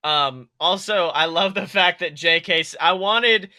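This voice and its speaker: A man talking casually as a podcast co-host, excitable and exclamatory, swearing freely as he goes. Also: high voice, a little slow, smooth, no room sound, clean studio sound. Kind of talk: casual chat about an animated film.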